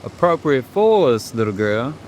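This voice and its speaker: An actor playing a wary, sly street hustler and police informant in a horror audio drama, talking in streetwise slang. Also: male voice, mid-range voice, big pitch swings, unhurried, darkish tone, slight background noise. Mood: wary, needling. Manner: streetwise slang, speaks of himself in third person.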